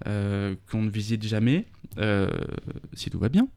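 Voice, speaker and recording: A man's voice: lowish voice, animated, a little slow, warm tone, clean tone, dry recording. A man, a festival organizer talking through a radio interview, full of fillers and restarts. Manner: hesitant, many fillers and restarts.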